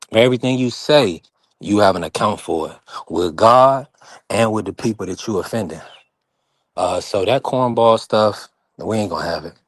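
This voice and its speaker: A low-voiced man from North Carolina hosts a live boxing stream, speaking firmly and a little preachy. The sound is dry and close, with no room around it. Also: dry recording. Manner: firm, preachy, talks over others. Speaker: channel host. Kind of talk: heated casual live-stream chat.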